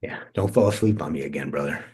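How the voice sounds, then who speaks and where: A man's voice: a bass voice, fast, warm tone, husky, exaggerated voice. A man acting a brash, restless, irreverent young slacker in a casual, naturalistic table read. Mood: easygoing. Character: brash, restless, fast-talking slacker cousin, scheming and irreverent.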